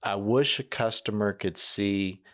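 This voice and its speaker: A man hosting a conversational podcast interview, working through his questions in a halting, meandering way. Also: on the low side, a bit bright. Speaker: podcast host, company manager.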